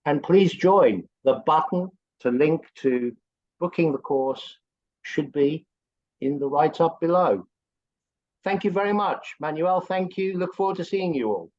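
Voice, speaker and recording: An older man with an English accent, chatting at length as a course co-instructor, his voice swinging up high as he talks. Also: expressive, measured pace, darkish tone. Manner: chatty and rambling, with big upward swings in pitch. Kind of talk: promotional video-call chat. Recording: dull lo-fi call audio.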